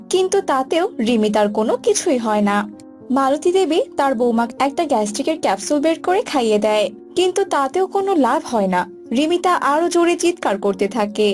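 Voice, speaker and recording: A woman's voice: sits high, darkish tone. A woman reading scripted narration for a cartoon story. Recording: music bed behind voice.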